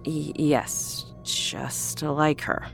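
A woman doing a soft-spoken, weary nurse. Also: sits low, extremely slow, gravelly, faint music behind, pitched below the performer's own voice, full character voice. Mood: uneasy. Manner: soft, steady, reassuring, hesitant. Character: weary woman nurse, calm but shaken.

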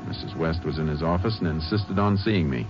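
An actor playing a laconic Texas Ranger who investigates and narrates, in a measured American voice in 1950s radio drama style. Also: male voice, a bass voice. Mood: measured narrating. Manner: measured, matter-of-fact. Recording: muffled old radio recording, music behind.

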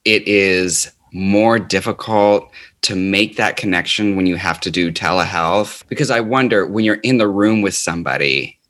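A man hosts a podcast, asking his questions in an unhurried, reflective way. His voice is among the deepest around, yet it carries a bright, forward edge. He draws his guest out patiently. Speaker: podcast host. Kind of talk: interview questions.

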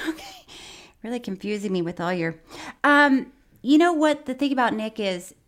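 A woman co-hosts a comedy podcast with a fairly high voice and very lively intonation. She chats casually, sounding amused and ready to tease. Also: moderate pace. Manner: amused, teasing. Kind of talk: casual comedic chat about a documentary.

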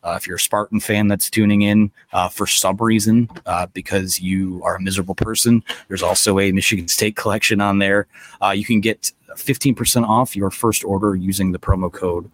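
A man's voice: low-pitched, steady tone, a little fast, no room sound. A man hosting a live post-game sports talk show from a stadium press box, losing his voice to a cold, talking casually with lots of fillers. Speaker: podcast host.

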